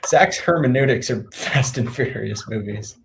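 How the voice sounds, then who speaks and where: Brief: young man around twenty, deadpan co-host, mid pitch, faint noise underneath. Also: moderate pace, creaky. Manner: deadpan teasing, mock-serious. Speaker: co-host and regular guest. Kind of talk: casual chat.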